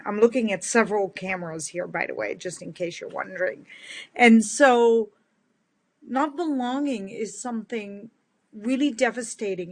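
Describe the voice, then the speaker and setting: A woman in her fifties from the Netherlands, speaking with a big booming voice in an earnest, heartfelt live-stream talk to her followers as a kindness speaker.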